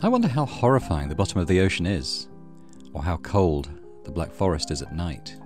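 A man's voice: low voice, sing-song, a little fast, darkish tone, faint music behind. A man hosting a let's play, reading story narration aloud with casual commentary.

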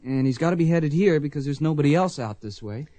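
A man's voice: fairly high pitch, expressive, quick pace, dark tone, no echo, natural voice. An actor playing an anxious, devoted frontier boy in a radio western. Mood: curious. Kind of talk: radio western drama acting.

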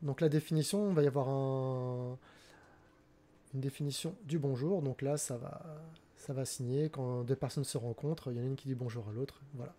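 A man's voice: mid-range voice, fairly even intonation, moderate pace, mellow. A man in his forties hosting a casual live stream, talking with a headset mic at home, hesitant with many fillers and restarts. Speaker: streamer host. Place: home room indoors.

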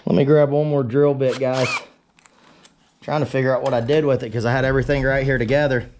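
A man's voice: medium pitch, level tone, fairly quick. A man casually talking viewers through hands-on shop work in an easygoing, self-deprecating way, addressing them as guys. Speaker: DIY metalworking video host. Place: cluttered sunlit forge workshop. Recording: clear power tool and workshop noise. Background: drill and tool noise.

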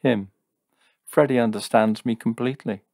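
A man voicing a calm, gently reproving young woman with teasing wit in an audiobook, soft-spoken and matter-of-fact. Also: mid-range voice, normal speed, vocal fry, no room sound, studio quality, voice pushed up, close to an everyday voice. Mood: gentle, frank.

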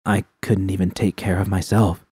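A man reading a first-person fan story aloud in a quiet, subdued manner. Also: sits low, little pitch movement, normal speed, husky, no room sound, clean studio sound. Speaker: audiobook reader.

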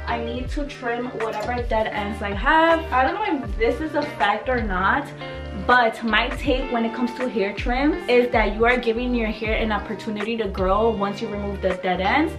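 A woman's voice: a little high, normal speed. A woman in her twenties chatting casually as a vlogger, informal and slangy with a self-deprecating streak, recorded on a camera in a bedroom. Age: 20s. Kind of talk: casual chatty vlog narration. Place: small apartment bedroom, indoors. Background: background music.

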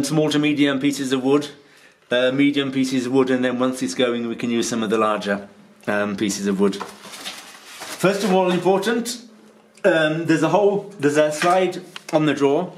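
Middle-aged guy walking you through stuff, lots of ums, kitchen, bit of faint noise. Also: fairly high pitch. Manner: patient, step-by-step, frequent ums. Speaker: holiday rental host. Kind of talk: instructional tutorial. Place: stone-walled home kitchen, indoors.

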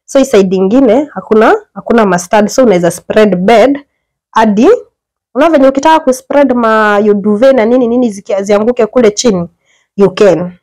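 A woman's voice: on the high side, brisk. A young Kenyan woman chatting her way through a house tour, filming on a handheld phone in a small indoor apartment. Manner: chatty, mixes English and Swahili slang, lively exclamations. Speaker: vlogger giving a house tour. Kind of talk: casual house tour chat. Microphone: phone camera held while walking.

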